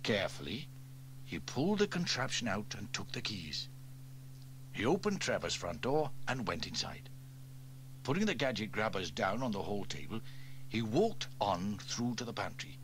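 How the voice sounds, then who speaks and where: A man narrating a children's audio story in an expressive, animated read-aloud manner. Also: lowish voice, moderate pace, a little hiss or hum, low-quality recording.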